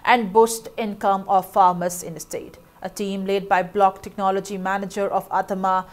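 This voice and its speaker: Female newsreader, formal even delivery, studio read, mid-pitched voice. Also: moderate pace. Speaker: news anchor. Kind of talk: scripted news reading.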